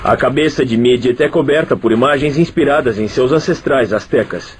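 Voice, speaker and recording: Measured male documentary narrator. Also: mid-range voice, faint music behind.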